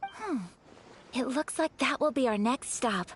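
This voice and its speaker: Young noblewoman, video game, high lilting voice, formal, gentle, courteous, lively intonation. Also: a little slow, slight background noise. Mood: gracious. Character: polite, refined young noblewoman of a clan.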